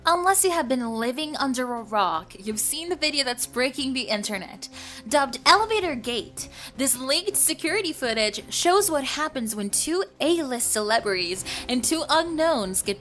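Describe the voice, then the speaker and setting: A woman's voice: fairly high pitch, sharp tone. A woman playing a dramatic celebrity gossip show host, with punchy, teasing, theatrical delivery of scripted tabloid news. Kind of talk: scripted tabloid broadcast narration.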